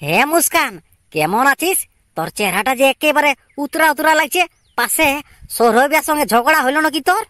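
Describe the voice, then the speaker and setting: A man's voice: very high voice, lively intonation, fairly quick, sharp tone, dry recording, full character voice. A man with a Purulia Bengali accent voicing a cocky, scheming young secret lover in an amateur cartoon, with an insistent, plotting manner.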